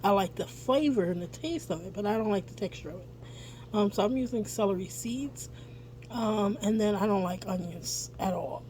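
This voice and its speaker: A woman's voice: mid-range voice, normal speed, mellow. A woman with a scratchy voice, chatting casually through a home cooking vlog with lots of ums, filmed on a phone in her kitchen. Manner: chatty, frequent ums and throat clearing. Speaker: family vlogger. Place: home kitchen with dryer running. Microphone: phone camera, later on a mount.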